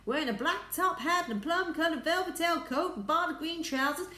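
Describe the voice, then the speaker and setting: A woman voicing a cheeky, excitable male astronaut in a storybook, brash and exclaiming. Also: a little high, normal speed, roomy sound, pitched above the performer's own voice. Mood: excited.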